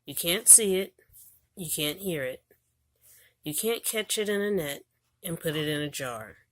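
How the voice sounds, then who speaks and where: A woman reading an educational children's book aloud in a deliberate, storybook manner. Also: fairly low pitch, animated.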